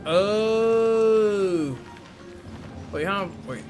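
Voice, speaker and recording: A man's voice: sits high, extremely slow. A man doing casual live gameplay commentary, informal and sweary, reacting as he plays. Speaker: game streamer. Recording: game music behind the voice.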